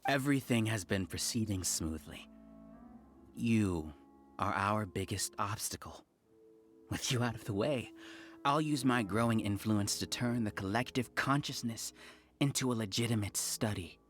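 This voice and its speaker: An actor playing a smug, grandiose scholar villain who leads a cult-like hive, theatrical and condescending in a video game. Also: male voice, lowish voice, unhurried, a bit bright. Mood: grandiose, bitter.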